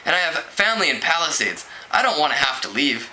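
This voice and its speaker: A man's voice: fairly high pitch, sing-song, quick pace, bright, forceful tone, heavily acted. A man reading a storybook aloud, voicing an open, friendly young office tech worker in a casual, easygoing manner. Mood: friendly, frank. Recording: clearly noisy home recording.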